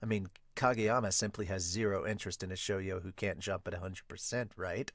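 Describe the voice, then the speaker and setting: A man voicing a cool, calculating young volleyball strategist in a manga dub, quiet and measured. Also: low-pitched, a little hiss or hum, voice pushed down.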